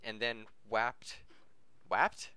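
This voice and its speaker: Male podcast reader, forceful bright tone, dry close sound, informal narration with joking asides. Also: fairly low pitch, fairly even intonation, very slow, clean tone, no room sound. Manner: breaks off to laugh, joke and correct misreadings. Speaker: podcast host reading fan fiction aloud. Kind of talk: amateur story reading with joking asides.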